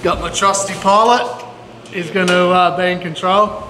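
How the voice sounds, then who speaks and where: A man's voice: high voice, fairly even intonation, moderate pace, sharp tone. A middle-aged man vlogging casually in a laid-back, slangy way, talking to a handheld camera. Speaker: vlogger, DIY builder. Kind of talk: casual handheld vlog talk. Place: large indoor pole barn workshop. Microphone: camera mic at arm's length. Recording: echoey barn with clear background noise.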